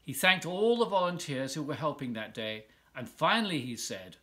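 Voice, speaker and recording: A man reading a children's picture book aloud as a volunteer storyteller, expressively, with drawn-out words and dramatic pauses. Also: fairly high pitch, sing-song, sharp tone.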